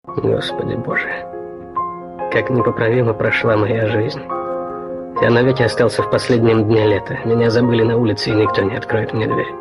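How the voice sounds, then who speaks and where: A man's voice: mid-range voice, little pitch movement, moderate pace, vocal fry, lightly acted. An actor playing a weary, melancholy man who delivers a dramatic lament about life. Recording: voice under loud music.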